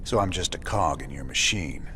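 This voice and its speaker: A man playing a stern, world-weary outlaw commander in a video game, talking in a terse, gruff, folksy manner. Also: extremely low pitch, expressive, normal speed. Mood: suspicious, terse. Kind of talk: dramatic video game dialogue.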